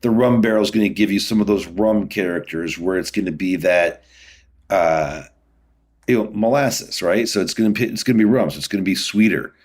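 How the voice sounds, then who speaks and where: Man, middle-aged, lowish pitch, casual interview guest, easygoing, rambling explanations. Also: moderate pace, darkish tone. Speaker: podcast guest, whiskey distiller.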